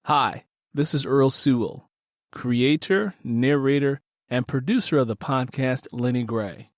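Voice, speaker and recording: A man's voice: medium pitch, measured pace, dry recording, clean studio sound. A man hosting a podcast, addressing listeners in a scripted announcement. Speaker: podcast host, creator and narrator.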